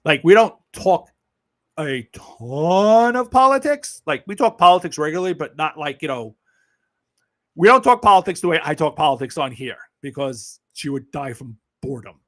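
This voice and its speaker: New York man, livestream host; blunt, sarcastic, swears freely, slightly high pitch. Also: animated, normal speed, a bit bright. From Brooklyn, New York. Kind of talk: casual live-stream chat.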